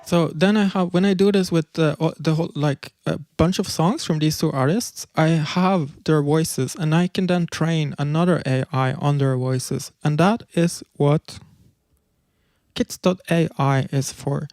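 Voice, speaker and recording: A man's voice: on the high side, steady tone, measured pace, a little mellow, no echo, clean studio sound. A man giving a casual livestream presentation, explaining things loosely with fillers and restarts. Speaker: presenter demonstrating music tools.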